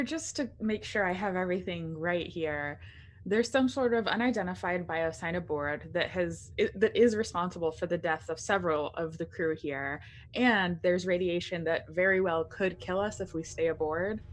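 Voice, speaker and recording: A woman's voice: lowish voice, moderate pace. A woman playing a tabletop roleplaying game, talking conversationally as her character.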